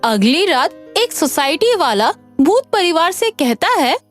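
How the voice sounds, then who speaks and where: A woman narrating a scripted animated story for children. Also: extremely high pitch, a little slow. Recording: music under the voice.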